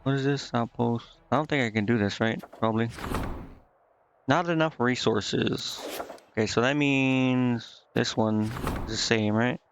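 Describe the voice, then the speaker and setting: A man streaming a game, casually reading on-screen text aloud and thinking out loud in a halting, puzzled mutter. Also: mid-range voice, muffled recording, slight background noise. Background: game music.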